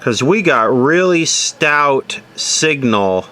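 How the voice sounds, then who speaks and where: A man's voice: medium pitch, animated, drawn-out words, bright, forceful tone. A man giving casual troubleshooting commentary as he repairs electronics, musing aloud and asking himself questions. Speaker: electronics repair hobbyist presenter.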